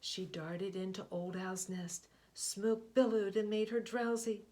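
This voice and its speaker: A woman reading a picture book aloud, expressive, often dropping to a hushed whisper. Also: medium pitch, slight background noise. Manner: expressive, slow, hushed asides. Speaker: storybook reader.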